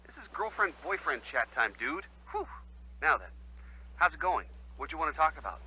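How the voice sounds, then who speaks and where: An actor playing an upbeat, earnest college-age American boyfriend chatting on a phone call in a video game. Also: male voice, fairly high pitch, sing-song, a little fast, pushed and bright, husky, full character voice. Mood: playfully exasperated. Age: young adult.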